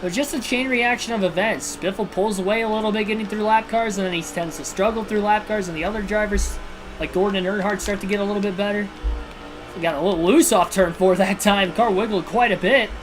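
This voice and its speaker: A man's voice: high-pitched, brisk, rough recording. Man calling a video game race. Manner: steady broadcaster-style narration mixed with personal asides. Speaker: livestream host and race commentator. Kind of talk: realistic play-by-play racing commentary. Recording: video game music clearly behind voice.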